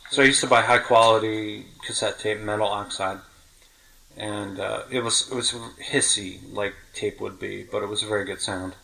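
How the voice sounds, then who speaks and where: A man's voice: on the low side, steady tone, normal speed, vocal fry, pushed and bright, low-quality recording. A middle-aged man hosting a podcast, reminiscing casually in detail and correcting himself as he goes. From New York City. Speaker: podcast host.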